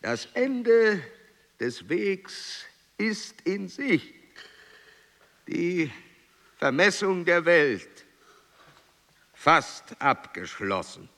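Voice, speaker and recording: An actor playing an aged, celebrated explorer baron in a German radio play, pompous and oratorical. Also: male voice, sits high, sing-song, very slow, long pauses, fairly bright tone, full character voice. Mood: grandiose, solemn.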